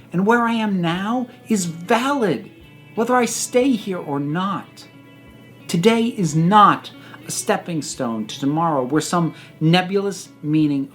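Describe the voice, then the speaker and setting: A speaker delivering a scripted personal reflection like a speech, with deliberate, emphatic phrasing and pauses. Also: mid-range voice. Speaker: video essayist reading own personal reflection.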